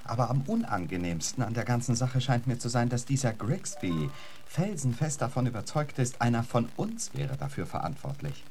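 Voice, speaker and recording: An actor playing an urbane, articulate writer in a German radio drama, with an eloquent, emphatic and somewhat arch manner. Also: male voice, medium pitch, very animated, normal speed, darkish tone, soft background music, lightly acted. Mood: incredulous. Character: urbane, articulate male writer, incredulous and theatrical.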